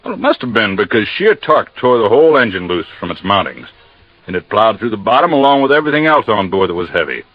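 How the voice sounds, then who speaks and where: An American actor playing a matter-of-fact, folksy Coast Guard captain explaining things in a 1950s radio drama. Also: male voice, lowish voice, sing-song, fast. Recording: dull, dry vintage radio recording.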